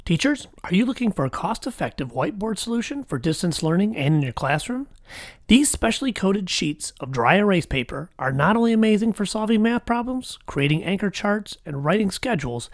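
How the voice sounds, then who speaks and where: A man's voice: high voice, sing-song, fairly quick, reverberant. A man co-hosting an educational podcast, chatting casually with fillers and also reading the sponsor message. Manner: upbeat, rambling with fillers. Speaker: podcast co-host.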